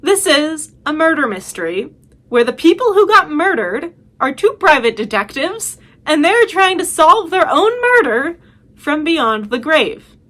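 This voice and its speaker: A woman's voice: high voice, very animated, measured pace, fairly bright tone. A woman talking casually as a video host, giving chatty, emphatic and enthusiastic recommendations.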